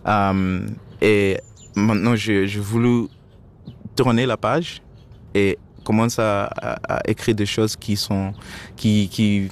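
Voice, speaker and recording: A man's voice: on the low side, steady tone, takes it slowly. A man, a Shona speaker, answering interview questions hesitantly and searching for his words in French. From Zimbabwe. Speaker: musician guest.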